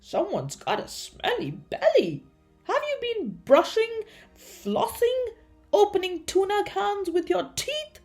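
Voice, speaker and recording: A woman's voice: very high voice, sing-song, slow, a little mellow, soft background music, pitched above the performer's own voice, full character voice. A woman voicing a cowardly, blustering male sea-creature dentist in a storybook, with a theatrical, stammering, shrieking delivery. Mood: nervous bluster.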